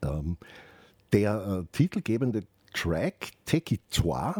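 Guy hosting radio, kinda husky, slow and halting, thinking out loud, really dry sound. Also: on the low side, very animated, dry recording. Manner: halting, reflective, explanatory asides with rhetorical questions. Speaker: radio show host. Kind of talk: unscripted spoken radio commentary with explanations.

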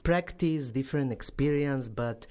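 A woman hosting an interview in a studio, speaking haltingly and searching for words with many fillers. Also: a bass voice, warm tone. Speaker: podcast host.

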